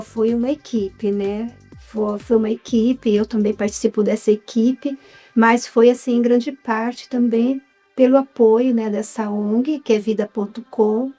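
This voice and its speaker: A Brazilian woman speaks in a higher voice with a Brazilian Portuguese accent, talking about her work as an interview guest. She talks with feeling and leans on her key words. Soft music plays faintly behind her. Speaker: interview guest, writer and filmmaker. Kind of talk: casual interview chat.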